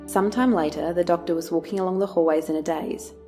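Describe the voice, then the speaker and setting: A woman narrates an audio drama from a script. Her voice sits a little low. Music plays beneath the narration. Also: fast talker. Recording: music under the voice.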